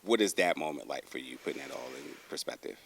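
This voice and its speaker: A man conducting a conversational interview, with halting, rambling questions. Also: very deep voice, animated, gravelly, husky.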